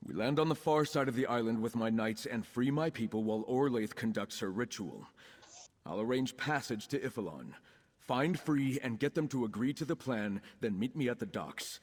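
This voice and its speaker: An actor playing an earnest, commanding knight in a video game, speaking in a formal, purposeful way. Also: male voice, medium pitch, expressive, moderate pace, big-room sound, lightly acted. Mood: resolute. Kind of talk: scripted video game dialogue.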